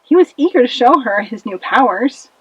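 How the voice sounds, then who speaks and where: A woman narrating a children's puppet-show fable with expressive, dramatic delivery, in a slightly muffled recording. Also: a little high, normal speed, slightly rough, dry recording. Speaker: storyteller.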